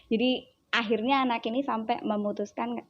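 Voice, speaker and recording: A woman with a Javanese accent tells a story in a relaxed interview chat, and she slips into her child's voice as she talks. Her voice sits a bit high. The recording sounds dull and lo-fi. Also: normal speed. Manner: animated storyteller who acts out her child's words. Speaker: child psychologist guest.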